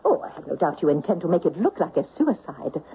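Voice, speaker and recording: An actress playing a shrewd, bold French stage star in an old radio mystery, with a theatrical, coolly taunting manner. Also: female voice, lowish voice, very animated, quick pace. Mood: taunting, assured. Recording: tinny, noisy old radio recording.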